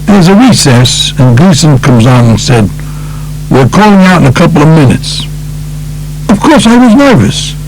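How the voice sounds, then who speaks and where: A man's voice: fairly high pitch, very animated, moderate pace, a little mellow, slight background noise, voice pushed up, low-quality recording. A man voicing a blunt, streetwise mobster telling his story in an audiobook. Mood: nervous, agitated. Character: streetwise male mobster recounting his testimony. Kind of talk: audiobook character reading.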